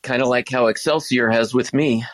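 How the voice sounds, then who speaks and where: An actor playing a teasing but protective high school boy, casual in manner, in a naturalistic audio drama. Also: fairly even intonation, moderate pace, dry recording, lightly acted. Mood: guarded.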